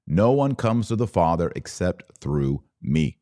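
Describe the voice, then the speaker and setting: Slow, low-pitched man preaching emphatically. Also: big pitch swings, mellow. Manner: blunt, informal. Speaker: podcast host. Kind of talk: religious commentary monologue.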